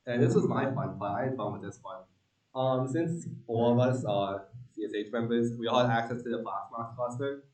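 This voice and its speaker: A young man from Long Island giving a relaxed, joking student team presentation with a lot of ums. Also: mid-range voice, moderate pace, echoey room, recorded from a distance, low-quality recording. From Long Island, New York. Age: young adult.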